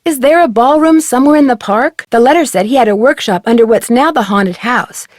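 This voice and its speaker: An actress playing a polite, curious young detective in an adventure game, with courteous, clearly enunciated questions. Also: female voice, on the high side, brisk, close to an everyday voice. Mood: inquisitive. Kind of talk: scripted adventure game dialogue.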